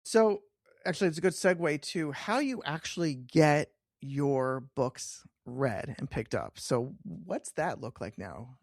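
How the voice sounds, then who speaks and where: A man's voice: fairly high pitch, animated, measured pace, gravelly, no echo, studio quality. A man hosting a podcast, chatting casually and informally and often restarting his thoughts mid-sentence. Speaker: podcast host, interviewer. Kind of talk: casual chat.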